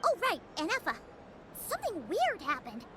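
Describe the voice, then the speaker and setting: A woman voicing a small, excitable, girlish fairy companion in an anime video game, exclaiming and calling herself by name. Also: extremely high pitch, normal speed, heavy background noise, full character voice. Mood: worried, puzzled. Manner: exclamatory, refers to herself in third person. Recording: game music behind.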